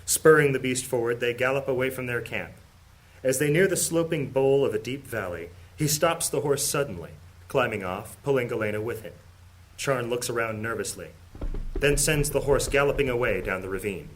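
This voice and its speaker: A man narrating an audio drama in a steady, descriptive way. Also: medium pitch, normal speed, rough recording. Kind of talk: scripted narration.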